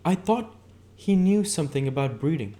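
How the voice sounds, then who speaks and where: A man puts on a defiant married woman in an audiobook, lifted well above his own pitch. She is bitter and scornful, leaning on her words with indignation. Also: expressive, moderate pace, warm tone, lightly acted. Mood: disdainful. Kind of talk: audiobook character dialogue.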